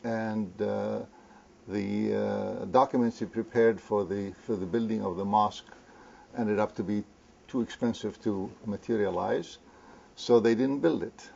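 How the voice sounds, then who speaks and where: Older man from Lebanon, low gravelly tone, halting delivery full of pauses. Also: steady tone, a little mellow, slight background noise. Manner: hesitant, many pauses and fillers. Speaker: interview guest, community founder. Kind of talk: conversational interview. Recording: muffled lo-fi recording.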